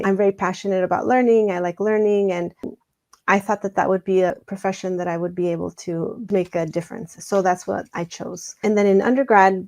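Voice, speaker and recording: A woman from Mexico, a scholar guest in an interview, speaking earnestly and reflectively about her path into teaching. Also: medium pitch. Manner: warm, earnest, reflective storytelling. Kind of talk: conversational interview.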